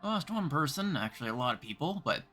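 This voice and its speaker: A man's voice: on the high side, sing-song, quick pace, a bit bright, soft background music. A man from Canada casually commentating as he livestreams a game, thinking aloud in short remarks. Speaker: livestreaming gamer.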